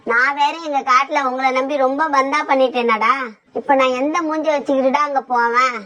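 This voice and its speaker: A woman's voice: high-pitched, fairly even intonation, brisk, pushed and bright. A woman voicing a bossy female bird, a wife and mother, in a cartoon, talking in a scolding, commanding way. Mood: upset. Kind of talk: cartoon voice acting.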